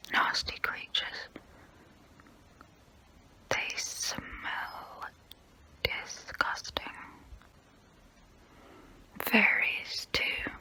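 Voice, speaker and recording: A haughty immortal female vampire, played by a woman in an ASMR role play, whispering right up close. The delivery is very slow and deliberate, with a crisp, bright edge to the breath. She sounds condescending, as though humans are far beneath her. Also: full character voice.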